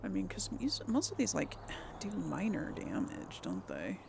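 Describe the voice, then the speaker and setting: A woman's voice: lowish voice, huge pitch swings, measured pace, gravelly, noisy background. A woman giving casual gaming commentary, thinking aloud in a hesitant, musing way and often trailing off. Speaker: let's player hosting a role-play playthrough.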